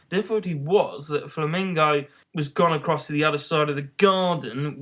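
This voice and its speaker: An audiobook reader narrating a children's story in an expressive style. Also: mid-range voice.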